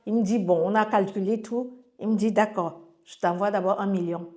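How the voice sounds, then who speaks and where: A woman's voice: medium pitch, fairly even intonation, normal speed, a little mellow. A woman from Cameroon with a Cameroonian French accent, sharing a personal testimony in casual storytelling, with frequent fillers and restarts as she relays what others said to her.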